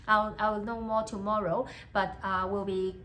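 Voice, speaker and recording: A young woman from Hong Kong, a native Cantonese speaker, talking casually in a video diary. She speaks with a Hong Kong accent, hesitates often, fills pauses, and repeats each thought in English and then again in Cantonese. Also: medium pitch, flat delivery, sharp tone, slight background noise. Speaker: student.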